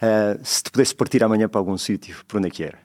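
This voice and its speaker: A man with a European Portuguese accent hosts a casual interview. His voice sits slightly low and he speaks quickly, recorded dry with hardly any room around it. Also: darkish tone, dry recording. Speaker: interviewer, podcast host.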